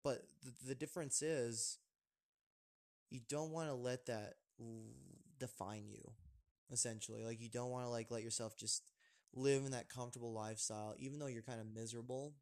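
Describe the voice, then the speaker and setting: A man's voice: mid-range voice, steady tone, normal speed. Rambling young man chatting on a podcast. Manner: rambling, many fillers and restarts. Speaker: podcast host. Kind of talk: casual podcast chat.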